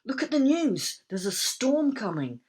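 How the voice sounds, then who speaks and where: A woman's voice: big pitch swings, a little slow, a little mellow, slightly rough, heavily acted. A woman voicing an anxious teenage boy who warns his friend about an incoming storm. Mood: urgent. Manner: urgent, raised pitch.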